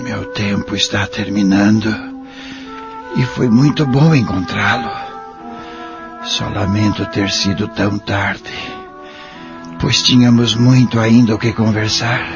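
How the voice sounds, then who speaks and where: A man playing a gentle, repentant old man near the end of his life, speaking earnestly and formally with a Brazilian accent in an audio drama. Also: lowish voice, takes it slowly, pauses often. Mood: solemn. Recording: dull lo-fi audio with music behind.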